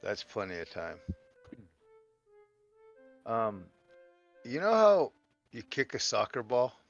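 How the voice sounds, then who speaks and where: Male tabletop player, gruff and profane in-character banter, a little dull-sounding, faint music behind. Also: mid-range voice, huge pitch swings, slow pace. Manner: gruff, heavy swearing. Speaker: player in a tabletop roleplaying game. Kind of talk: casual in-character roleplay banter.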